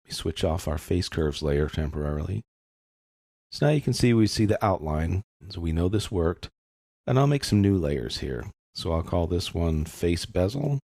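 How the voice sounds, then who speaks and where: Man, very low voice, casual tutorial narration, step-by-step explaining, close dry recording. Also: sing-song, moderate pace, darkish tone, no echo. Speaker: software instructor.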